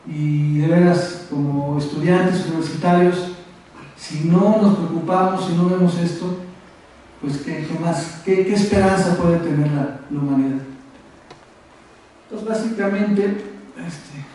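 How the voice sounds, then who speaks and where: A man with a Mexico City accent giving an informal conference presentation as an activist, halting, often repeating words and trailing off. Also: a little high, warm tone. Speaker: activist speaker on a conference panel. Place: university auditorium. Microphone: distant from the speaker. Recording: echoey room, distant mic, faint noise.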